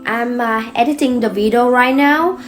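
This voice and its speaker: A young woman from Vietnam with a Vietnamese accent, talking casually to her vlog audience, soft and unhurried, with many fillers and restarts. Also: fairly high pitch, music in the background. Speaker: vlogger.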